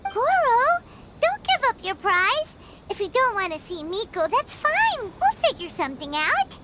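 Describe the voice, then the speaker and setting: A woman voicing a tiny, childlike fairy girl in a video game, squeaky, chirpy and cheeky. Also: sing-song, measured pace, very noisy, full character voice. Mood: urging, surprised. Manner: squeaky, speaks of herself in third person.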